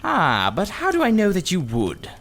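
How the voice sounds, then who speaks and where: A man voicing a sly old gentleman magician in a storybook, with oily, cunning, drawn-out politeness and false kindness. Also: high-pitched, wildly swooping pitch, pitched above the performer's own voice, full character voice. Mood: falsely kindly. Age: older. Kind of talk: children's storybook character reading.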